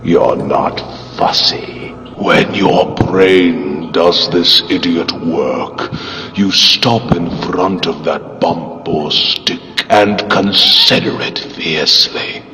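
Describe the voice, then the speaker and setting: A man's voice: low-pitched, pushed and bright, full character voice. A man voicing a vast inhuman fungal god that pronounces solemnly and with contempt on humanity, in a motion comic. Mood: scornful. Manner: slow, solemn, deliberate pronouncements. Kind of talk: dramatic comic narration. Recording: cavernous reverb, distant, faint music behind.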